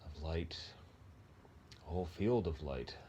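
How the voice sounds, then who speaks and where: A man leading an unscripted guided meditation in a gentle, halting, unhurried manner with long pauses. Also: rumbling low, lively intonation, highs cut off, slight background noise.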